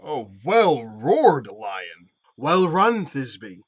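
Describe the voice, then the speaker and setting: A man's voice: medium pitch, sing-song, extremely slow, very noisy. A man playing a witty nobleman who wryly mocks and teases in a Shakespearean audio play. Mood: mock praise. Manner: wry, teasing.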